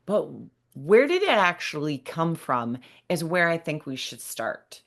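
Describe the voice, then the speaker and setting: A woman's voice: low-pitched, big pitch swings, a little slow. A woman in her fifties speaking earnestly and emphatically to listeners as the host of a solo podcast. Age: 50s. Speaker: podcast host and bereavement specialist.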